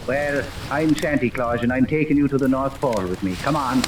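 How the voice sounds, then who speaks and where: A man playing a gruff, mocking beat policeman who talks in a bossy, colloquial way in a 1930s radio serial. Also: medium pitch, a little slow, lightly acted. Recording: noisy, echoey, distant 1930s radio recording.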